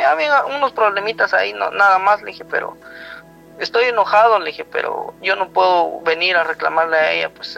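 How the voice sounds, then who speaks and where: A Guatemalan man with a high, bright voice tells a personal story in a rambling, conversational way. He keeps quoting who said what, over clearly audible background music on a slightly dull recording. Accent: Guatemalan Spanish. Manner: rambling, retells dialogue with frequent "he told me" tags. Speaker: guest telling a personal story.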